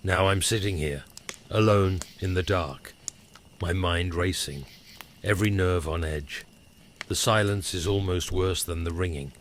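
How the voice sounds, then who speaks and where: A man's voice: sits low, a little slow. A man narrating a scripted first-person scary story in a dramatic, suspenseful way, as a horror storyteller. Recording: clear background crackling noise. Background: crackling fire ambience.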